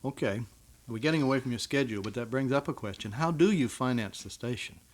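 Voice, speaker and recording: A man's voice: mid-range voice, fast talker. An American man acting as guest host and interviewer in an informal radio interview.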